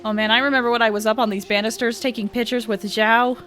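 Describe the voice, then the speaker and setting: Bright-voiced woman streaming a game. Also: fairly high pitch, fast talker, soft background music. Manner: excitable, chatty. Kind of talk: casual livestream game commentary.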